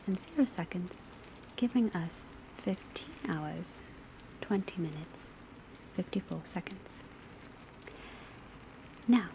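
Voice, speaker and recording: A woman playing a witch academy professor, lecturing in a soft, breathy ASMR voice, often whispered, in a measured and formal way. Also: medium pitch. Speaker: teacher. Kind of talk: ASMR classroom roleplay lecture. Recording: steady rain and crackling fire noise. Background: rain on rooftop, fireplace, pencil writing.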